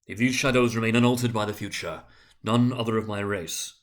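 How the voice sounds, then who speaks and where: A man's voice: on the low side, steady tone, a little fast, lightly acted. A man voicing a stern ghost in a storybook reading, solemn and scornful as he declaims a rebuke.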